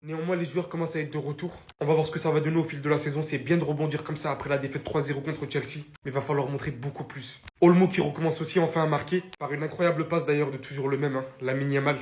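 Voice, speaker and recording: Fast-talking, excitable man, echoey room. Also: a little high. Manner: amazed, excitable, rattling on. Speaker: football YouTuber. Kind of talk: enthusiastic video commentary.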